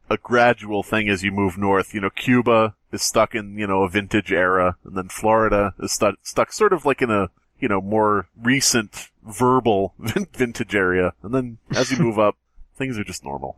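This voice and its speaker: A man's voice: on the low side, expressive, moderate pace, fairly bright tone, no echo. A man co-hosting a podcast, reading a horror story aloud with jokey, sardonic, profane asides. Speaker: podcast co-host.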